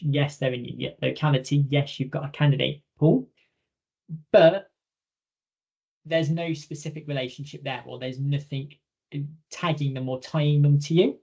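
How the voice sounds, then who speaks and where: A man's voice: fairly high pitch, gravelly, darkish tone, muffled recording. A man presenting a training webinar in an informal, direct, conversational way, emphasising points through repetition.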